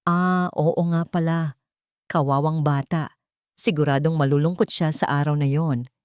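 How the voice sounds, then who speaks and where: Woman playing society mother, haughty, condescending, venomous sweetness, low-pitched, storybook drama. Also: steady tone, measured pace, a little mellow, clean tone, no room sound, studio quality. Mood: mock pity. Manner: sweet but cutting, condescending.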